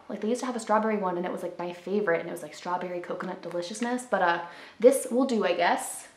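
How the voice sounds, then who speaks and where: Chatty young woman in an echoey living room. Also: medium pitch. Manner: chatty, upbeat, lots of "like" fillers. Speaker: lifestyle vlogger. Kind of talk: casual grocery haul chat to camera. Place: living room at home, indoors.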